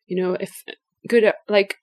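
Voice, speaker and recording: A woman's voice: medium pitch, fairly even intonation, normal speed. A woman from Hungary with a Hungarian accent, a guest artist thinking aloud in a casual interview with frequent restarts.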